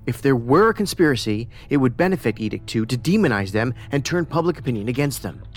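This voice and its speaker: An actor playing a pedantic, socially awkward federal investigator in a science fiction audio drama, speaking precisely and formally with a detached, musing manner. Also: male voice, mid-range voice, lively intonation, fast, close to an everyday voice. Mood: analytical, intent. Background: music under the voice.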